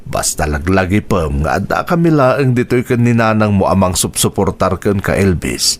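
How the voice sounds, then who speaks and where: A supportive, fatherly figure for a radio drama. His voice sits very low and moves expressively through the lines, with an earnest, encouraging manner. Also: big pitch swings, moderate pace. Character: supportive father who once dreamed of music himself. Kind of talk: Ilocano radio drama acting.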